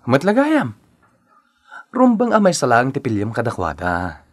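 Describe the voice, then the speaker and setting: An earnest young Filipino man in radio melodrama, disapproving of his friend. His pitch leaps around as he scolds. The sound is dry, with little room to it. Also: wildly swooping pitch, a little slow, no room sound. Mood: scolding. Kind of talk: Ilocano radio drama acting.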